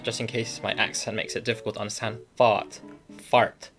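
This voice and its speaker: A man's voice: on the low side, level tone, moderate pace, a bit bright, faint music behind. A young man who hosts an advice show, reading out a viewer email and then chatting casually about it, laughing easily and restarting his sentences often.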